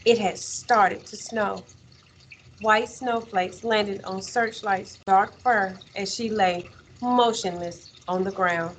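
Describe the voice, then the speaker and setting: A woman teacher reading a children's story aloud in an expressive, measured way, with dramatic pauses. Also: medium pitch, slight background noise, low-quality recording.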